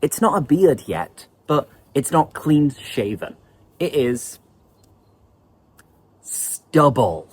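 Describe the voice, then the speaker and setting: Male English teacher, online lesson, lively and explanatory, pitch swings widely. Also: slow pace, pauses often, slightly rough.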